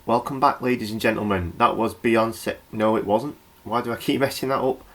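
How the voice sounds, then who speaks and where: Male actor as rattled British local DJ, lowish pitch, hesitant, stammering, nervously apologetic, comedy horror radio play. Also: fairly even intonation, moderate pace, a bit bright. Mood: nervously chatty.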